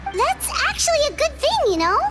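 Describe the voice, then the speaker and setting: A woman voicing a tiny childlike fairy companion in a video game, squeaky, perky, chatty and bluntly cheeky. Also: big pitch swings, normal speed. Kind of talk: English video game voice acting. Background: game background music.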